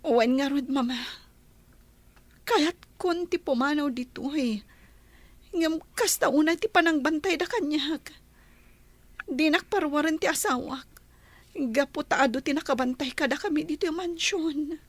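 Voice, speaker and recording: A woman's voice: sits high, a little slow, long pauses. A woman playing a worried young wife, pleading and anguished, in a melodramatic radio drama.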